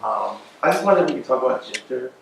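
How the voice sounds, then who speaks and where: A man's voice: medium pitch, animated, fast talker, vocal fry. A man in the audience tentatively and casually asking a question, recorded distantly in an echoing, noisy room.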